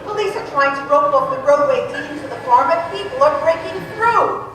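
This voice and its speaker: A woman's voice: sits high, animated, a little fast, a crowd in the background. A woman playing an alarmed field reporter in an old-time radio play, breathless and dramatic. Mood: tense urgency. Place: large hall, live stage performance. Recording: echoey hall from far off, audience noise.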